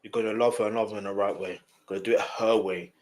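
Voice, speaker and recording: A man with a slightly nasal voice, giving casual reaction commentary and talking straight to the viewer, often repeating words in quick bursts. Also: lowish voice, steady tone, a little mellow. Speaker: music reaction host.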